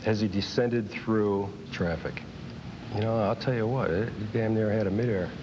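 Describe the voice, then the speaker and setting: Blunt man over loud rumble. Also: fairly even intonation, normal speed, muffled recording. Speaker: air traffic controller, interviewee. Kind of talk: candid recounting in an interview.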